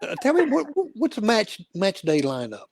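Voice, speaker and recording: An older man hosting an interview show, chatting casually and halting with fillers and restarts. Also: sits high, wildly swooping pitch, vocal fry, darkish tone. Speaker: talk show host.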